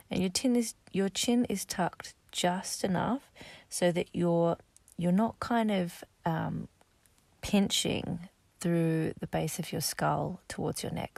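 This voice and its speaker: A woman guiding a sleep meditation, speaking softly and gently, slow and instructive, sometimes half-whispered. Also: sits low, gravelly, no room sound. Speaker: meditation guide.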